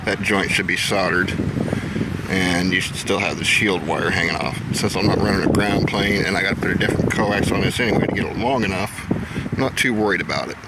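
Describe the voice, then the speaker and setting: An American man from Indiana giving a casual, plain-spoken, unhurried how-to demonstration as a hobby vlogger, pausing as he works. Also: sits low, very noisy. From Indiana, United States. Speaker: amateur radio hobby vlogger. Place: outdoors.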